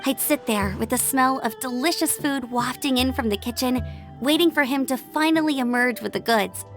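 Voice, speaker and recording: A cheerful young scout in a video game, with a high, bright voice. She speaks fondly and openly. Game music plays clearly behind her. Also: moderate pace. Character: cheerful young woman scout, warm and nostalgic. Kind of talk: video game cutscene voice acting.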